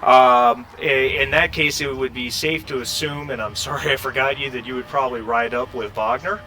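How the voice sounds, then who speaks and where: A man narrating a tabletop role-playing game as game master, halting and conversational as he searches for words. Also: medium pitch, fairly even intonation, sharp tone, slight background noise, poor audio.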